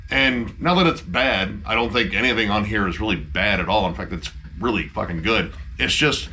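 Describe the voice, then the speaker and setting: Low, forceful male co-host; emphatic, profane, casual reviewer. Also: moderate pace, a little hiss or hum. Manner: emphatic, swears freely. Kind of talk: casual album review chat.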